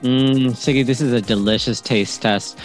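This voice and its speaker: A man's voice: mid-range voice, steady tone, moderate pace, soft background music, poor audio. A man co-hosting a podcast in a casual, agreeable and encouraging way. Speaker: podcast co-host.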